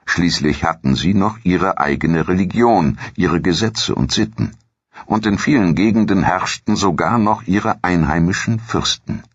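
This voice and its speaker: A man narrating a scripted history documentary in a measured, formal storytelling manner, with a gravelly, husky voice. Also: low voice, no room sound, muffled recording.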